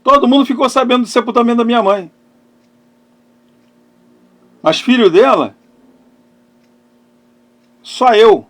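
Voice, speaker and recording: A middle-aged man speaks as a pastor in a notably high-pitched voice. He preaches through personal testimony, placing phrases emphatically with dramatic pauses, moving between loud stress and hushed delivery. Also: lively intonation. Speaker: pastor and therapist hosting a live talk.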